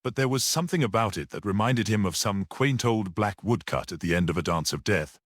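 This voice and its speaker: A man reading a gothic mystery story as an audiobook narrator, in a measured, expressive literary manner. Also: fairly low pitch, no echo, clean studio sound.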